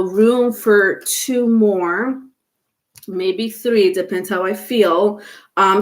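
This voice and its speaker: A woman with a stuffy nose doing a casual live tarot stream, chatting with viewers and full of fillers, without a microphone. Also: medium pitch. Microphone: no microphone, device mic.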